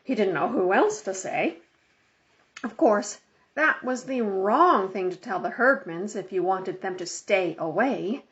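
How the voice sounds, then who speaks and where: Female children's audiobook narrator, mid-range pitch, scripted storytelling, slightly muffled recording. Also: animated, unhurried, a bit bright. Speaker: audiobook reader.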